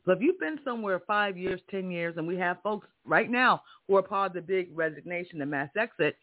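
A man with a strong voice hosting a career podcast, giving an emphatic motivational monologue full of rhetorical questions. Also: sits high. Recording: tinny phone-like audio.

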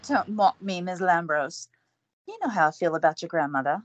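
A woman's voice: on the low side, expressive, normal speed, muffled recording, close to an everyday voice. A woman playing a flustered, earnest young woman in an audio drama.

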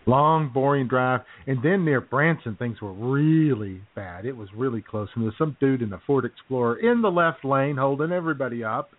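A man's voice: medium pitch, expressive, normal speed, few pauses, dry recording. An American man co-hosting a talk show in casual, jokey banter, often chuckling as he goes. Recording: tinny, phone-like band-limited sound.

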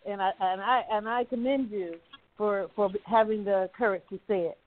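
A woman's voice: mid-range voice, steady tone, moderate pace, no echo. An older woman from Virginia, calling in and speaking in an encouraging, rambling way with many fillers. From Virginia, USA. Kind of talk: casual call-in chat. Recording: tinny phone line.

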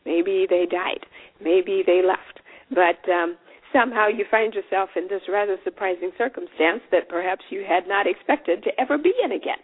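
A woman's voice: medium pitch, normal speed, husky, no echo. A woman in her forties hosting a solo podcast, talking in a chatty, folksy, encouraging way. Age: 40s. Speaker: podcast host. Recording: tinny phone-like sound.

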